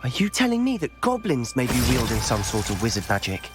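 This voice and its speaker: A young man playing a curious, eager teenage schoolboy wizard in a video game, expressive and inquisitive in his speech. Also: medium pitch, a little fast, music in the background. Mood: intrigued, incredulous. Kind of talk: video game cutscene dialogue.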